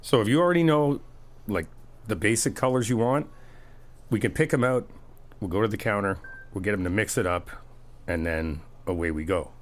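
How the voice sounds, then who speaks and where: A man's voice: fairly low pitch, moderate pace, long pauses. A man doing a friendly ASMR roleplay, chatty and teasing, reassuring the listener as he helps out. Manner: reassuring, helpful.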